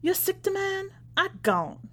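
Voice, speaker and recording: Exasperated ex-girlfriend, acted by a woman narrator, sharp and scolding, thick homegrown accent, storybook dialogue. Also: extremely high pitch, very animated, measured pace, pitched above the performer's own voice, heavily acted. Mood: vexed.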